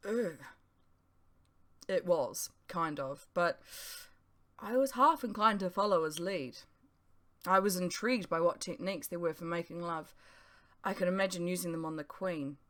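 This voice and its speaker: A woman reading her own novel aloud as expressive first-person narration. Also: on the low side, normal speed, pauses often. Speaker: author reading own audiobook.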